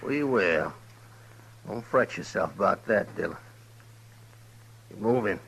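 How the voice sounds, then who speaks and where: A man's voice: on the low side, expressive, slow pace, vocal fry, breathy edge, full character voice. An American man playing a vengeful, self-righteous outlaw gang leader in a radio Western, taunting and threatening in a rural drawl. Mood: cool, taunting. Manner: taunting, swinging from quiet threat to bark. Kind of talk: 1950s Western radio drama acting. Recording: noisy old radio recording.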